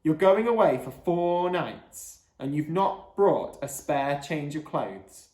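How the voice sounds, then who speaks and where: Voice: medium pitch, big pitch swings, measured pace, echoey room, low-quality recording. A storybook reader voicing an exasperated, disapproving mother who speaks firmly and scolds. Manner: firm, scolding.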